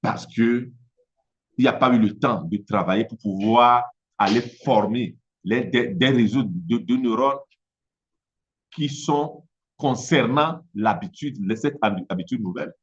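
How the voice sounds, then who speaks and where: A business coach from Togo, a man whose first language is Mina, speaking French with an Italian-influenced accent in a halting motivational talk, often repeating words as he searches for them. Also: mid-range voice, dull audio.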